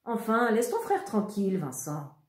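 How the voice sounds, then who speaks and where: A woman voicing a gentle loving mother. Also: mid-range voice, wildly swooping pitch, a little slow, voice pushed up. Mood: tender. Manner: soft, affectionate. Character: gentle, affectionate mother in her late thirties. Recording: roomy echo.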